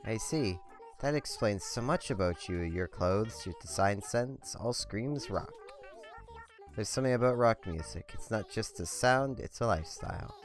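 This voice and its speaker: A hoarse man reading the lines of a deadpan female rabbit villager in a flat, matter-of-fact way. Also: lowish voice, measured pace, soft background music. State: hoarse, sore throat.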